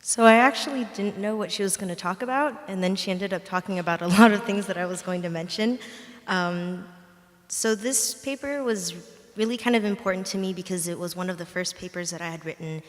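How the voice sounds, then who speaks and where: A woman's voice: medium pitch, brisk. A young adult woman, a native Mandarin speaker, giving a short, casual acceptance speech with fillers. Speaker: undergraduate award recipient. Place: library ceremony hall. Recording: long hall reverb.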